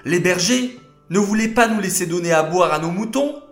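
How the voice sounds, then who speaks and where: A man voicing excited young sisters in a children's story, eager and urgent. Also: echoey room, soft background music. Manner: eager, fast, high and urgent.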